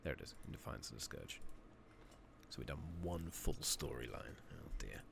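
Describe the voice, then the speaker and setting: Male ASMR gamer, very low, heavily creaky, soft-spoken murmur, casual and sweary, noisy home recording. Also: very animated, moderate pace. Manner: casual, swearing, muttering to himself. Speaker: ASMR gaming streamer. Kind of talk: soft-spoken ASMR gameplay commentary with whispering. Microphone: makeshift rigged-up close microphone. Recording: noisy home mic with clicking.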